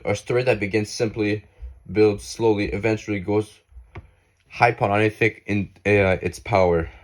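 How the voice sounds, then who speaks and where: Low-voiced man reading an essay. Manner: halting reading with frequent restarts and fillers.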